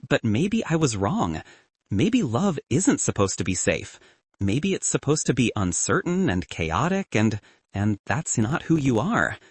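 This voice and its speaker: A man's voice: mid-range voice, very animated, measured pace, husky, no echo. A man voicing a heartbroken, principled young male student in an audiobook, earnest and brooding. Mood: cracking, doubtful.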